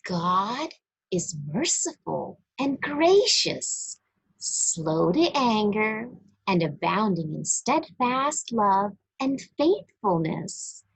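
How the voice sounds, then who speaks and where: Slow, expressive woman reading aloud to children. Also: medium pitch, breathy edge, low-quality recording. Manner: deliberate, expressive, addressing young listeners directly. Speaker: storybook reader for a church. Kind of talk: read-aloud children's religious story, teaching.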